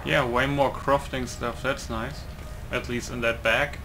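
A man with a mid-pitched voice comments casually on a game. He rambles and speaks bluntly, with swearing. There is noticeable background noise under the voice. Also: little pitch movement, unhurried. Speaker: gaming video creator.

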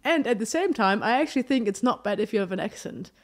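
Casting note: young German woman, German accent, slightly high voice, playful podcast banter with frequent laughs. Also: lively intonation, fast. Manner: playful, laughs often, quizzes her partner. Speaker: podcast co-host. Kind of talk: casual chat.

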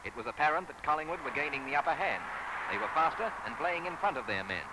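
A man's voice: on the high side, lively intonation, fast, heavy background noise. An Australian man narrating a sports newsreel in scripted 1950s commentary style, with wry, colourful phrasing on a vintage soundtrack. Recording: vintage newsreel soundtrack, loud music behind. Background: loud music.

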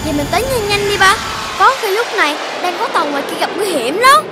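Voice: very high-pitched, lively intonation, quick pace, loud music behind the voice. An actor voicing an eager, curious young puppy child in a cartoon, speaking earnestly. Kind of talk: cartoon voice acting.

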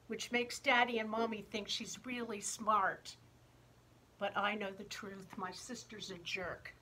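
Storybook woman performer as a small envious sibling: petulant grumbling, unhurried and clear-edged bright tone. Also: a little high, a little hiss or hum, low-quality recording. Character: small, envious younger sibling griping about an older sister. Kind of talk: expressive children's picture book reading.

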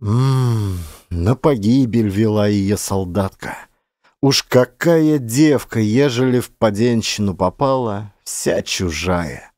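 An actor playing a stubborn, quarrelsome peasant man in an audiobook, grumbling gruffly in plain country speech. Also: on the low side, slow, a little mellow, no room sound, clean studio sound. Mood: brooding regret.

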